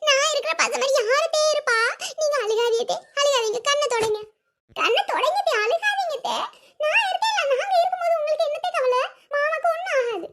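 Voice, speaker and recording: A woman's voice: very high-pitched, animated, brisk, a bit bright, exaggerated voice. A woman voicing an overly devoted young daughter-in-law in a family drama, effusive and melodramatic. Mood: gushing comfort. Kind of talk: amateur family drama dialogue.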